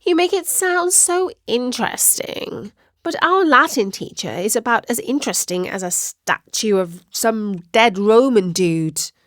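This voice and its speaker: A woman's voice: fairly high pitch, expressive, a little slow, dry recording, studio quality. A woman voicing a reluctant, cheeky young witch schoolgirl in a storybook, pleading and emphatic. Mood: unconvinced, cheeky.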